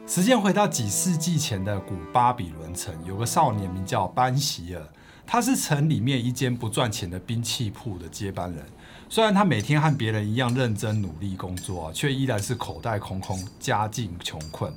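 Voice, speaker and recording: A man's voice: lowish voice, sing-song, moderate pace, faint music behind. A man co-hosting a book podcast, reading scripted narration as a storyteller.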